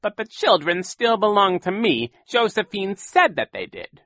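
A theatrical storybook villain, a sneering and boastful con man. His growling voice leaps high and swings wildly as he gloats and mocks, forceful and bright, recorded close and dry. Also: very animated, measured pace, no room sound, full character voice. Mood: feigned innocence. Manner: gloating, exaggerated, mocking delivery. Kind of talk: audiobook character voice.

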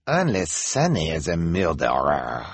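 Casting: gloating storybook detective villain, rough-edged and slow a male narrator in character. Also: extremely low pitch, wildly swooping pitch, no room sound, full character voice. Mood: smug menace.

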